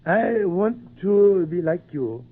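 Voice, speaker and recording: A man's voice: high voice, expressive, takes it slowly, full character voice. A man playing a humble, devoted islander servant in an old-time radio drama, speaking simple broken English with words dropped. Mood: earnest, devoted. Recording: tinny narrow-band old radio recording.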